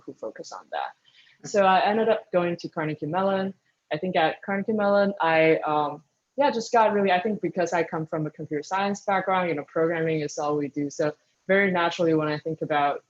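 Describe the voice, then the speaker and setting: A speaker answers interview questions at a mid pitch, thinking aloud with frequent ums and restarted sentences. The audio sounds dull and lo-fi. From Taiwan. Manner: thoughtful, lots of ums and restarts. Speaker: guest speaker, engineering manager.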